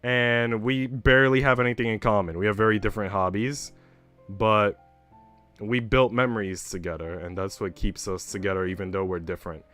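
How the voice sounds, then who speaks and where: A man's voice: lowish voice, fairly even intonation, moderate pace, fairly bright tone. A man hosting a livestream, talking casually and candidly to his viewers in a chatty way with some casual swearing. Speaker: livestream host. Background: background music.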